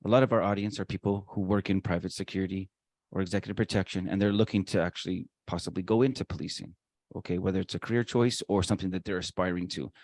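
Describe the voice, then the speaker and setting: Fast-talking man co-hosting a podcast. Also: low-pitched, steady tone, a little mellow, no echo. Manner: talkative, emphatic, self-described showman. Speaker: podcast co-host. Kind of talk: conversational interview, promotional asides.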